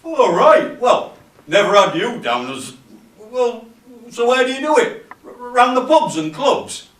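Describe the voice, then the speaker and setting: A loud, boastful lad from the works tea room as put on by a male monologuist, all swagger and blokey banter. The voice is fairly high and carries from a distance through a roomy, echoing space. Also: animated, measured pace. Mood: curious, hearty. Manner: brash, swaggering. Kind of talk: theatrical comic monologue. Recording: echoey room, distant camera microphone.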